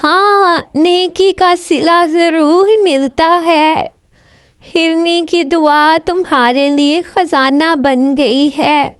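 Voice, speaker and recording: A woman's voice: extremely high pitch, fairly even intonation, extremely slow, no echo, studio quality, voice pushed up, full character voice. A woman playing a kindly old holy man who makes solemn proclamations in a storybook dream. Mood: assuring.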